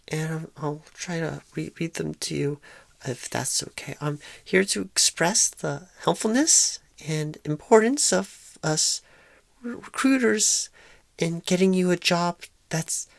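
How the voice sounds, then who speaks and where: A woman playing a shy, inexperienced, nervous job recruiter in an ASMR roleplay, halting and stammering in a soft-spoken, awkward way. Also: lowish voice, gravelly, dry recording, exaggerated voice.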